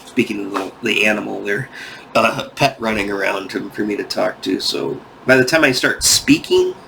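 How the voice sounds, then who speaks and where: A man from the United States hosting a comic book video, rambling casually, tired and going off on tangents, with some gravel in his voice. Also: lowish voice, big pitch swings, normal speed, sharp tone, a little hiss or hum, poor audio. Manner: meandering, restarts often, goes off on tangents. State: tired, yawning.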